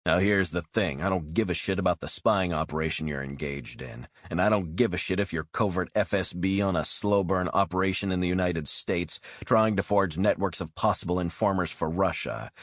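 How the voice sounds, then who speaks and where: A man voicing a hard male interrogator who talks slowly and threateningly. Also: sits low, a bit bright, dry recording, studio quality. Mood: menacing. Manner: cold, slow and threatening.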